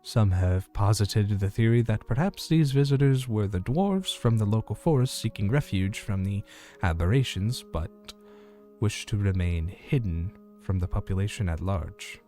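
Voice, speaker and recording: Man playing a low, mellow fantasy radio host. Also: animated, moderate pace, soft background music, lightly acted. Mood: musing. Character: male small-town radio host calmly reporting eerie fantasy news. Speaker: radio show host in a fictional fantasy town. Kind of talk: scripted community radio news narration in audio fiction.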